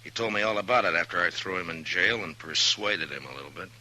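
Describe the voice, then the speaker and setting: An actor playing a tough, unhurried American frontier marshal in a 1950s radio western, blunt and coolly goading. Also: male voice, deep voice, pushed and bright, full character voice, low-quality recording.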